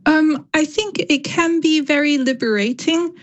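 A woman's voice: high voice, measured pace, dull audio. Young female novelist, Chinese first language, thoughtful and hesitant answers. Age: young adult. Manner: thoughtful, with many fillers. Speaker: novelist guest. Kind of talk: radio interview.

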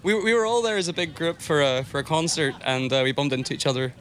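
A man's voice: on the high side, fast, fairly bright tone. A Northern Irish man answering an interviewer's questions in casual chat. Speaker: event-goer being interviewed.

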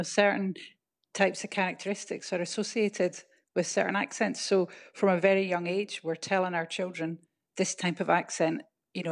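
Scottish female academic, mild accent, low gravelly tone, measured guest. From Scotland. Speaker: linguistics professor. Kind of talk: radio interview.

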